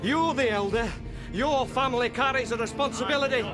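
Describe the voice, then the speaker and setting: A man's voice: very high-pitched, brisk. A male actor playing a grave, insistent villager with a Yorkshire accent in a radio drama. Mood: grave, urgent. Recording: music behind the voice.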